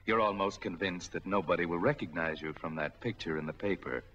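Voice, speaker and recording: A man's voice: low voice, a little fast, fairly bright tone, lo-fi sound. An actor playing a taunting, knowing unseen male narrator in a 1940s mystery film. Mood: ominous, mocking. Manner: mocking, knowing. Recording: noisy, crackly 1940s film soundtrack.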